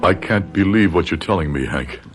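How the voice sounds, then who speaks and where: A man's voice: deep voice, animated, moderate pace. An actor playing a middle-aged, devoted and worried professor father in a radio drama, speaking in a formal, articulate, reflective way. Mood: shaken. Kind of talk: American radio drama acting with first-person narration. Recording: radio drama with music behind.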